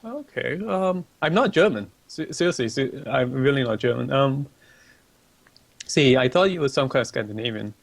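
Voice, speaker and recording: A man's voice: medium pitch, lively intonation, normal speed, vocal fry, no echo. Chatty young man answering viewers. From Malaysia. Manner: chatty and rambling, reads chat questions aloud. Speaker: livestream host. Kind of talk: casual stream chat, answering viewer questions.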